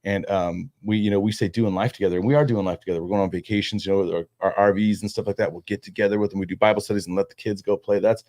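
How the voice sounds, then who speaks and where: A man in his thirties chatting as a podcast guest, his words tumbling out fast with lots of restarts and casual slang. His voice sits a little low. The recording is close and dry, with no room sound. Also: fairly even intonation, dark tone, dry recording. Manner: quick, loose talk with many restarts and "dude". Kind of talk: casual conversation.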